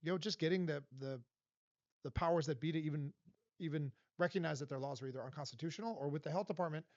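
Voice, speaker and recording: A fairly high-voiced man from Los Angeles, in his forties, speaking as an interview guest with an emphatic, animated way of telling his story. The sound is dry and a little dull, like a podcast recorded close in a small space. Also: quick pace, no echo. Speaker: guest, vendor advocate. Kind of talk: casual interview talk.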